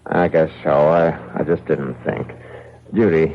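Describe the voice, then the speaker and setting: An actor playing an excitable, stubborn farmer husband in a radio drama, with a theatrical, halting, plain-spoken delivery. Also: male voice, a bass voice. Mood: subdued, hesitant. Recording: dull lo-fi radio drama recording.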